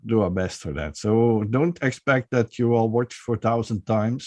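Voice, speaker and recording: A man's voice: fairly low pitch, normal speed, darkish tone, no echo. Hesitant male podcast host. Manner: hesitant, many restarts and fillers, laughs often. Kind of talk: casual online talk show.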